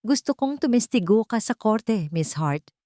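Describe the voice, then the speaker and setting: A woman's voice: fairly high pitch, lively intonation, moderate pace, dark tone, no echo, studio quality, pitched above the performer's own voice, lightly acted. A woman voicing a cold, bitter, vengeful rich aunt in an audiobook drama, speaking bluntly and commandingly. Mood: pressing.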